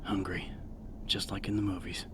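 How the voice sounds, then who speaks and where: Young male rookie policeman played by a male narrator, horror audio drama: deep, urgent, terse, protective, noisy ambient bed. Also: little pitch movement, moderate pace, lightly acted. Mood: anxious inner thoughts.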